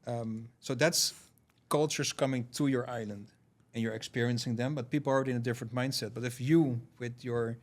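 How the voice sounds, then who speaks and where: Relaxed man from Curaçao, podcast guest. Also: mid-range voice, brisk, hardly any pauses. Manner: thoughtful, relaxed storytelling with frequent fillers. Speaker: podcast guest, payments founder. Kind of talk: casual podcast conversation.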